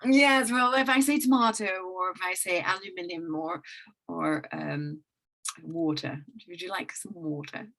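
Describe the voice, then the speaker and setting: Low-voiced woman with a mixed accent. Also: expressive, unhurried, gravelly, fairly bright tone. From England. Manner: rambling with many restarts and fillers. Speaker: guest business coach. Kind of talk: casual interview talk.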